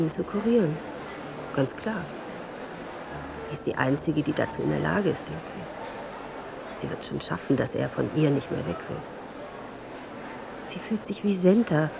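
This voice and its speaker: A woman's voice: lowish voice, creaky, noisy background. An actress playing an assertive, worldly-wise woman who gives wry, insistent opinions in a radio play. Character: assertive, worldly-wise woman theorising about men and women. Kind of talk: German radio play dialogue.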